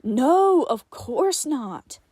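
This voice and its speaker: A woman voicing an angry, sarcastic man in a story, forceful and scoffing. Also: high voice, sing-song, extremely slow, no echo, studio quality, voice pushed up, full character voice. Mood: scornful fury. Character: angry, sarcastic, scornful man lashing out at colleagues.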